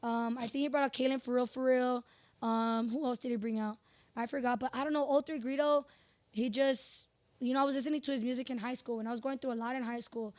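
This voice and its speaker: A woman, hoarse from hosting events, chatting candidly as a podcast guest and telling animated, slangy stories. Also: on the high side, fast talker. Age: 20s. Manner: animated storyteller, slangy and profane, emphatic. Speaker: podcast guest, radio personality. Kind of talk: candid casual interview chat.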